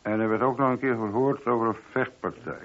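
An actor playing a chatty, deferential but opinionated middle-aged police sergeant in a radio play. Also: male voice, mid-range voice, fairly even intonation, fairly quick, fairly bright tone, close to an everyday voice. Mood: chatty, reporting. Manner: talkative, colloquial, deferential. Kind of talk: radio drama acting. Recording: dull, lo-fi old radio recording.

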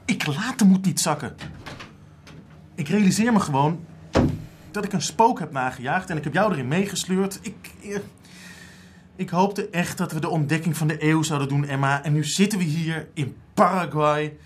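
An actor in a radio drama playing an earnest, easily disheartened male researcher, hesitant and excitable. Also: a little high, normal speed, slight background noise, natural voice. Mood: dejected. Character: earnest, disheartened male researcher-writer chasing an art discovery.

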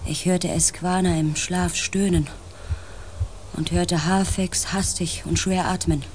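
A woman's voice: fairly low pitch, fairly even intonation, slow pace, a little mellow, slight background noise. An actress playing a female space pilot, grave and intense, in a science fiction radio play. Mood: tense, haunted. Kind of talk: radio play acting, dramatic first-person narration.

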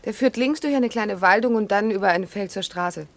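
An actress playing a young, forthright hotelier's daughter, casual and friendly in a radio crime drama. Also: female voice, medium pitch, normal speed, close to an everyday voice. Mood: matter-of-fact.

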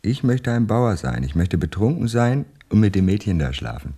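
An actor playing an earthy, stubborn young recruit in a German radio play, blunt and defiant, with a husky voice. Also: male voice, lowish voice, big pitch swings, normal speed, mellow. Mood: blunt, longing. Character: earthy, stubborn young peasant-minded man, army recruit.

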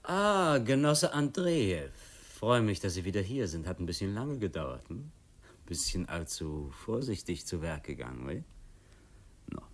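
An actor playing a smug revolutionary comrade in a radio play, giving orders in a clipped, condescending, probing way. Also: male voice, deep voice, sing-song, a little slow, heavily acted. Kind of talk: German radio play acting.

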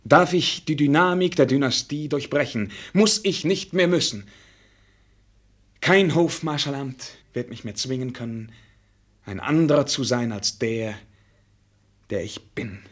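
Grüblerischer Prinz mit belegter Stimme. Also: male voice, mid-range voice, measured pace, husky. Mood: pensive. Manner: reflective, rhetorical questioning, stiffly formal. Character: brooding, self-doubting prince, earnest and formal. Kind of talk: German radio play acting.

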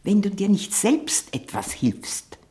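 A woman playing a domineering, scheming, sickly old aunt in a 1960s radio play, with a breathy voice that often drops into a whisper. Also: medium pitch, huge pitch swings, slow pace, warm tone. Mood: scolding, impatient.

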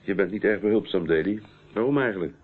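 A man's voice: deep voice, animated, quick pace, slightly rough. A man acting in a radio play as a persistent police inspector, questioning in a businesslike, authoritative way. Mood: stern, insistent. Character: stern, persistent male police inspector interrogating a witness. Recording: muffled, narrow-band old radio recording.

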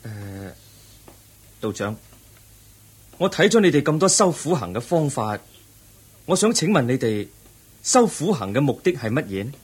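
A man playing an expressive, husky young truth-seeker. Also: fairly high pitch, moderate pace, long pauses. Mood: polite, probing. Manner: courteous, questioning, expressive. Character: polite, earnest, curious young male seeker of truth. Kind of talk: Cantonese radio drama acting.

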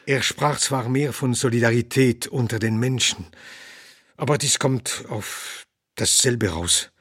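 An actor playing an elderly doctor and philosopher in a radio play, lecturing earnestly and growing indignant when challenged. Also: male voice, medium pitch, a little slow, a bit bright, husky, no room sound, studio quality. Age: older. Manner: earnest, lecturing, quotes his own writings. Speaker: interviewee.

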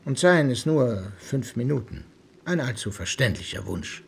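An actor playing a stern, indignant middle-aged uncle in a German radio drama, speaking formally and reproachfully with weighty pauses. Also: male voice, mid-range voice, expressive, gravelly, slight background noise. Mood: cool, formal. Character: stern, indignant uncle, patriarch of a genteel household.

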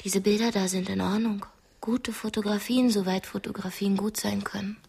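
An actress playing a defiant, scornful young woman held captive, speaking bluntly with a husky voice in a radio drama. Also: mid-range voice, steady tone, normal speed, darkish tone. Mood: cool, bitter. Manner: blunt, cutting.

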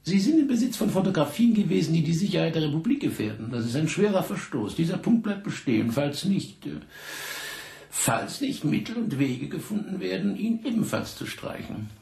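A condescending, theatrical police investigator of middle age in a radio drama. His highish voice leaps between scorn and mock courtesy, with a gravelly creak. The room around him echoes. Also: big pitch swings, moderate pace, mellow. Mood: mock courteous. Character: sardonic, bullying middle-aged French police investigator. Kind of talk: German radio drama acting.

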